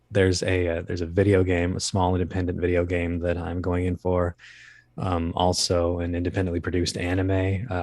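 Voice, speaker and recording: A man's voice: deep voice, a little mellow, dry recording. A man speaking as an interview guest, explaining his voice acting craft in a hesitant, rambling way with wry asides. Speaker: guest, voice actor.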